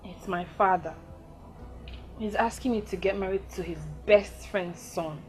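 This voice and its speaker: An actress playing a troubled young sweetheart, in film drama style. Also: female voice, medium pitch, fairly even intonation, moderate pace, a little mellow, soft background music, close to an everyday voice. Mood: worried. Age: young adult. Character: troubled young sweetheart pressured by her family.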